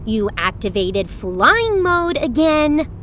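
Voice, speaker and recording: Feisty high-voiced young girl. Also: very animated, takes it slowly, a bit bright, a little hiss or hum, exaggerated voice. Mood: annoyed. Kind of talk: children's audio drama acting.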